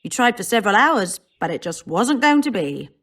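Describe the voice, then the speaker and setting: A female soldier in a fantasy video game. She speaks at a medium pitch in a dry, matter-of-fact way, like a comrade in arms. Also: big pitch swings, moderate pace, a bit bright. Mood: wry.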